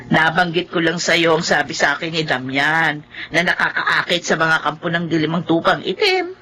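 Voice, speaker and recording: A woman playing an anxious, questioning village mother in a Tagalog horror radio drama, expressive and fretful. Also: sits low, normal speed. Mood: worried, questioning.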